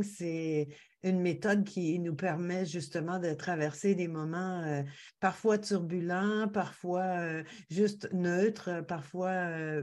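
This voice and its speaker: A woman's voice: fairly low pitch, a little mellow. A woman from Quebec with a Quebec French accent, a podcast guest talking casually with many fillers over a video call. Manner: hesitant, many fillers, laughs easily. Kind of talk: casual conversational interview.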